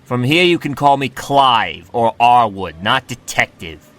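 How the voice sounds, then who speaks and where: A man playing a gruff, impatient 1920s police detective in a radio drama, curt and commanding. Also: medium pitch, lively intonation, a little slow, fairly bright tone. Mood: guarded, firm.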